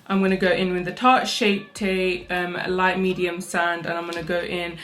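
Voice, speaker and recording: A young woman, doing a rushed get-ready-with-me makeup tutorial at home on her own mic. She talks fast and chatty, and her voice still sounds sleepy. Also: fairly low pitch, little pitch movement, noisy background. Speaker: makeup vlogger. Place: home, indoors. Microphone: own microphone.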